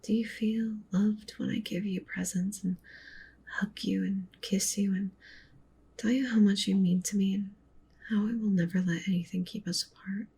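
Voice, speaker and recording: Casting: actress as obsessively loving wolf-girl witch for ASMR roleplay; breathy coaxing whispers, gravelly fry, slight background noise. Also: fairly even intonation, normal speed, warm tone, lightly acted. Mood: adoring, intense. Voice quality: breathy whisper with low creaky murmur. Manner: intimate, tender, clingy, coaxing. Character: possessive, doting yandere wolf witch girlfriend.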